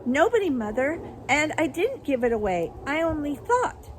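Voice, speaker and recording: A woman voicing a huge but timid grown daughter in a storybook, meek and apologetic in a hushed, frightened voice. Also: high voice, very animated, moderate pace, a little hiss or hum, pitched above the performer's own voice. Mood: anxious.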